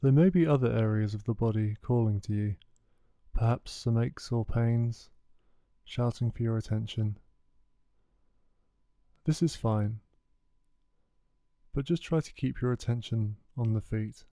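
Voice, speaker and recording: A man guides a meditation in a very dark, mellow voice with a smooth tone. His delivery is soothing and unhurried, leaving gentle pauses between instructions. The sound is close and dry. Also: medium pitch, no echo. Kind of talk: guided body scan meditation.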